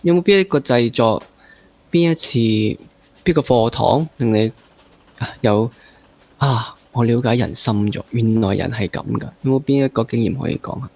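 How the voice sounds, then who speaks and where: A man's voice: medium pitch, normal speed, darkish tone. A man with a Hong Kong accent hosts a casual interview, asking informal, playful questions. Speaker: interview host.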